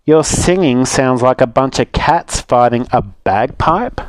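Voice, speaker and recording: A man's voice: medium pitch, a little slow, dry recording, close to an everyday voice. A man doing a bratty, mocking little girl.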